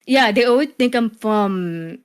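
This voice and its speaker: A woman's voice: on the high side, expressive, unhurried, clear, resonant tone. A young woman streamer, Cuban and living in the USA, talking casually in an interview with a speech impediment from hearing loss, full of fillers and restarts. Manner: frequent fillers and restarts, laughs easily.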